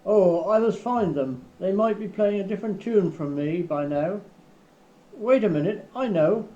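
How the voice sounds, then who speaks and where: A man's voice: sits high, animated, measured pace, dark tone. A man in an amateur family radio-comedy reading, playing a shifty, thieving, blustering rogue. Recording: dull, noisy old 1970s cassette tape.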